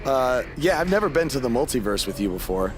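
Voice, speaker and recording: Young wisecracking wrestling champ man, game cutscene, casual delivery with very animated mid-range voice. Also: medium pitch, brisk, music in the background, close to an everyday voice. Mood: earnest, doubtful. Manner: casual, conversational. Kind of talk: video game cutscene acting.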